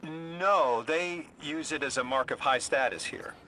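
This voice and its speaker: An actor playing a courteous, bookish translator in a video game, speaking in an eager, formal and slightly hesitant way. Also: male voice, fairly high pitch, unhurried, sharp tone, a little hiss or hum, low-quality recording. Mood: earnest, explaining. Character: flustered, courteous, bookish male translator and emissary.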